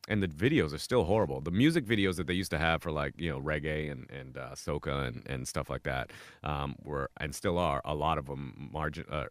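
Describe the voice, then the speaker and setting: A man's voice: very deep voice, fairly bright tone, dry recording. A man, originally from Trinidad and Tobago, chatting in an interview as a laid-back, joking comedian guest. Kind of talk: casual interview chat.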